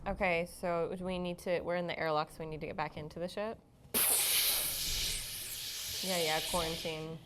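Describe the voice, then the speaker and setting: Slightly low-voiced woman, blunt game player. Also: level tone, normal speed, pauses often, fairly bright tone, slight background noise. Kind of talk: casual in-character game chat.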